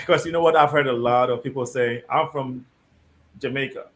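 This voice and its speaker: A man hosting a live discussion show, reading out viewer comments and guiding his guests in a measured, deliberate way. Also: medium pitch, steady tone, noisy background. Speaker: host.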